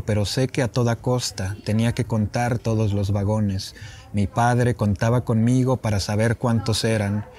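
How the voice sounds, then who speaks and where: A man's voice: fairly low pitch, darkish tone. A young man with a northern Mexican accent, a poet introducing and reciting his own work on an open patio. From Chihuahua, Mexico. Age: young adult. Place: open patio with wooden furniture.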